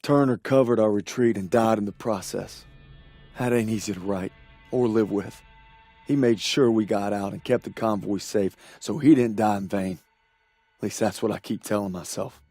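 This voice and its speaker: A man's voice: fairly low pitch, fairly even intonation, moderate pace, dark tone, soft background music. A man playing a weary young American soldier in a war game, narrating his losses in a somber, reflective way. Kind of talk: scripted first-person war narration.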